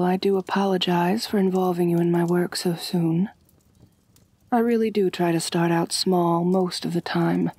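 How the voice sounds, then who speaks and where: A low, husky vampire noblewoman, played by a woman, purring slow endearments in an intimate ASMR roleplay. She is elegant and doting, with a gently commanding, calculating streak. The sound is close and dry. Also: no room sound. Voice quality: soft, breathy, husky. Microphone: close, dry microphone.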